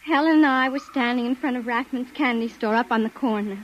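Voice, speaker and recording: Frightened young nursemaid, voiced by a woman; high-pitched, vintage radio drama. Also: a little fast, dull audio, slight background noise, lightly acted. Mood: shaken. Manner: sassy at first, then shaken and pleading. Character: young female nanny pushing a pram. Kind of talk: 1950s radio drama acting. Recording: old radio broadcast.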